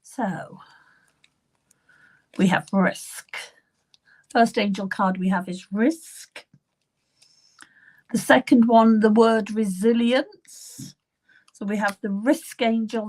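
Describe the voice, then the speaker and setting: A middle-aged woman hosting a live psychic reading session, chatting gently with viewers by name, her voice still a little croaky and at times falling to a whisper. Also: a little high, slow, pauses often. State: slightly croaky voice. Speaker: psychic medium.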